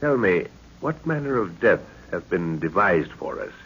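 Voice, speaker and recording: Deep-voiced, commanding expedition leader. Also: male voice, sing-song, a bit bright, husky, full character voice. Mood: stately, probing. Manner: authoritative, measured, formal. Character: commanding, deliberate male expedition leader, middle-aged. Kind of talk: old-time radio serial acting. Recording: muffled, noisy old radio recording.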